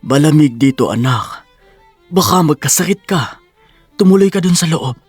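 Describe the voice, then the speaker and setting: A woman voicing a gentle, worried elderly father with a halting, caring manner in an audio drama. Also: low voice, expressive, breathy edge, no echo, faint music behind, voice pushed down.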